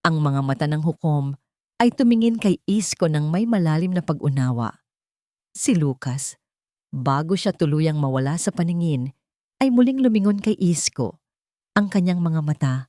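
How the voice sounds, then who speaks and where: A woman's voice: sits low, animated, normal speed, long pauses, warm tone, no room sound, studio quality. A woman reading a scripted, dramatic story as narrator.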